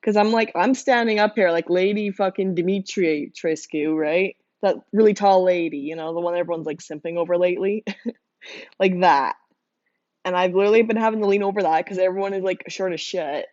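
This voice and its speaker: A young woman speaks with a hoarse, strained voice, as if she has been shouting for days. She rambles casually to her viewers, laughing often between her thoughts. Her voice comes through a dull, lo-fi mic with a dry, close sound. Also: fairly low pitch, fairly even intonation, brisk, no echo. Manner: giggly, laughs often, many fillers. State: hoarse, losing voice from screaming. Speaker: livestreamer drawing art.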